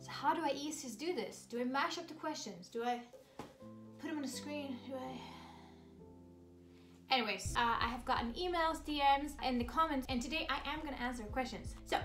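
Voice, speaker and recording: A woman's voice: sits high, fairly quick, over music. A woman in her thirties from Sweden with a Swedish accent, chatting upbeat and bubbly through a vlog Q&A. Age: 30s. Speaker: vlogger. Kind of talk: casual Q&A vlog.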